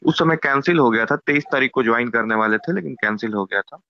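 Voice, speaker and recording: A man with a Bihari accent explaining politics informally in measured, repetitive phrases as an interviewee on a recorded phone call. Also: no room sound. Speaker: YouTuber. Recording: muffled low-bandwidth phone call recording.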